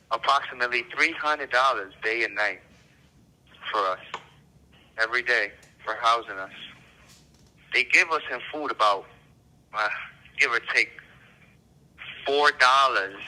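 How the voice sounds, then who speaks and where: Forceful, sharp-voiced man on tinny phone. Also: medium pitch. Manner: emphatic, aggrieved, short punchy repeated phrases. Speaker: prison inmate being interviewed. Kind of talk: casual, blunt personal testimony. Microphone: telephone. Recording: tinny prison phone line.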